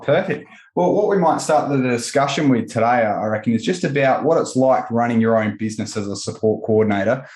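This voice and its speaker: A man's voice: medium pitch, darkish tone. A man hosting a podcast, moving between scripted introductions and conversational questions to guests. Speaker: podcast host. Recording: roomy, echoing voice recording.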